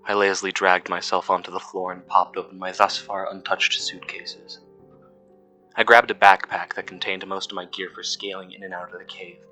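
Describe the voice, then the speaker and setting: A man playing a weary, uneasy cave worker who narrates his audio log in a somber, measured, scripted horror-podcast style. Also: sits low, pushed and bright, low-quality recording. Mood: listless, matter-of-fact. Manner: somber, measured, literary storytelling. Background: instrumental music.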